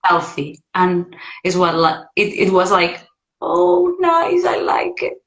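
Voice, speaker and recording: Slow-talking bright-voiced woman in her thirties. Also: fairly low pitch, vocal fry, echoey room. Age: 30s. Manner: hesitant, many fillers and restarts, lively when acting out memories. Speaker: vocal coach. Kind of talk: casual livestream chat. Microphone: phone or laptop on a video stream.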